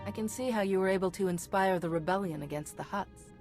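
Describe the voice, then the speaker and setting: A woman's voice: mid-range voice, fast, darkish tone, faint music behind, natural voice. An actress playing a polite, composed female diplomat hero in a video game, speaking courteously.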